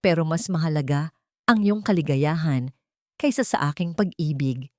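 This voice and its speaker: A woman with a Filipino accent voicing a gentle, devoted man in love, earnest and tender, in an audio drama. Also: low-pitched, lively intonation, moderate pace, no room sound, clean studio sound.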